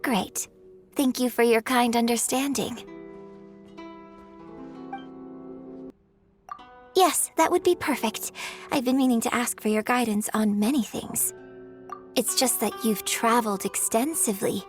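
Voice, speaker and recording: A woman's voice: fairly high pitch, moderate pace, faint music behind, close to an everyday voice. A woman playing a refined, courteous young opera performer in a video game, speaking with polite, formal, elegant phrasing. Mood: courteous, earnest. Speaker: game character voice. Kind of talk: scripted video game dialogue.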